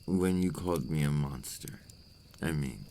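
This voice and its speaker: Man acting a very deep, gravelly, brooding vampire. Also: mellow, a little hiss or hum. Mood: remorseful. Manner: slow, deliberate, formal phrasing. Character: remorseful adult male vampire, brooding and tormented by his curse. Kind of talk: ASMR roleplay acting, intimate monologue.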